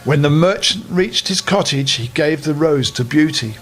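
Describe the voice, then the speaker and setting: A man's voice: a little high, moderate pace, faint music behind. An older man reading a fairy tale expressively to children as a storyteller, with friendly asides. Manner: animated, with friendly asides to young listeners.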